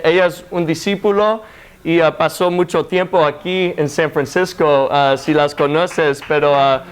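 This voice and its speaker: A young American man with English as his first language, preaching in a church in halting, unhurried speech full of fillers and restarts. His voice sits high and comes across smooth and clear. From United States. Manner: hesitant, many fillers and restarts. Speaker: guest preacher. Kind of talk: sermon in second language.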